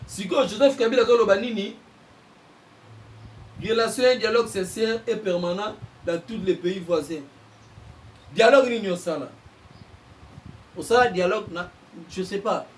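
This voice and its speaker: A Congolese man, a journalist and political analyst, giving impassioned commentary and getting louder as he presses his points. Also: sits high, moderate pace, pauses often, recorded from a distance, rough recording. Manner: heated, mixes French and Lingala, bursts louder on points.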